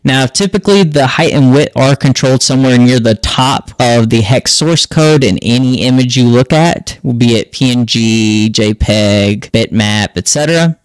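Guy doing a screen tutorial voiceover, average pitch, walks thru it step by step, really dry sound. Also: normal speed, hardly any pauses, clear, resonant tone, no room sound. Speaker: host of a tech tutorial channel. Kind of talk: scripted-casual screen tutorial narration.

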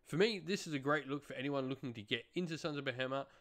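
A man's voice: medium pitch, fast talker, fairly bright tone. A young adult man giving a casual, talking-to-camera review as a channel host, recorded indoors in a home room.

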